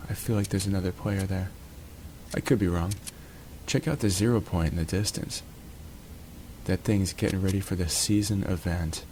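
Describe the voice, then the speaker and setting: A man's voice: deep voice, darkish tone, slight background noise. A man hosting his own channel, casually narrating a match from home in a laid-back, jokey, chatty way. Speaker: gaming channel host. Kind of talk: relaxed gameplay commentary.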